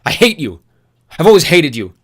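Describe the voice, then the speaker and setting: A man's voice: mid-range voice, fast talker, close to an everyday voice. A man voicing a furious young man sliding into madness, ranting and screaming bitter accusations. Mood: raging. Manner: screaming, ranting, spitting rage.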